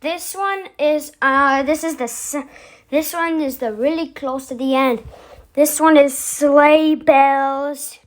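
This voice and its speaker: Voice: high voice, steady tone, slow. A video host giving casual vlog commentary, rambling and thinking aloud.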